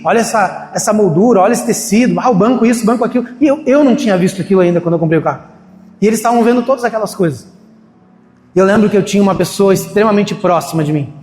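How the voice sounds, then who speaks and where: A man's voice: sits high, darkish tone, a little hiss or hum. A man preaching a sermon in a church in a casual, storytelling way, acting out the voices of people he quotes. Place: church hall. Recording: echoey hall, faint ambience.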